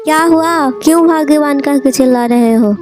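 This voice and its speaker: A woman's voice: sits high, normal speed, over music, natural voice. A woman voicing a work-shy, scheming village housewife in a moral-story cartoon, with a dramatic, complaining manner. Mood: irritated, weary. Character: potter's work-shy, complaining, scheming wife and her friend.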